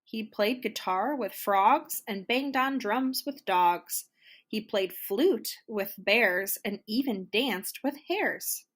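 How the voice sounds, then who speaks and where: A woman storyteller reading a children's storybook aloud in an expressive, deliberate way. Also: medium pitch. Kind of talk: scripted children's storybook narration.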